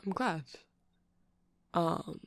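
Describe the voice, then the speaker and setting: A man performs a shy, nerdy male vampire who works in a music shop. He rambles slowly in a gentle, creaky voice, full of hesitant apologies. It is an intimate ASMR roleplay, recorded close and very dry. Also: sits high, no room sound, exaggerated voice. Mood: flustered, eager. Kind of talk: ASMR roleplay, soft casual monologue to listener.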